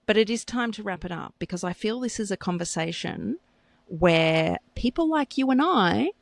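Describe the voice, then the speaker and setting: Chatty, teasing woman with lively pitch. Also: moderate pace, creaky, dry recording. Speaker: podcast co-host. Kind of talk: casual chat.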